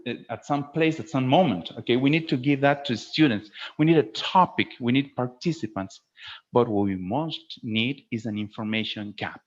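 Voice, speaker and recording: Male webinar presenter for teacher training, mid-range voice, instructive with regular check-ins, slightly dull low-fidelity call sound. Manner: didactic, frequently checks in with "okay?", emphasises key words. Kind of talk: webinar talk to fellow teachers. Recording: dull lo-fi online webinar audio.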